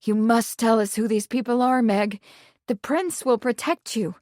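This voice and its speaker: A woman voicing a composed gentlewoman who speaks calmly and firmly. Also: fairly high pitch, no echo, clean studio sound, lightly acted. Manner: calm, measured, refined.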